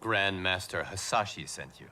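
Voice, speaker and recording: A man's voice: low-pitched, lively intonation, moderate pace, noisy background. A man playing a noble, righteous warrior monk in a video game cutscene, speaking with earnest, formal resolve. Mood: reasoning. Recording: loud cinematic music over the scene.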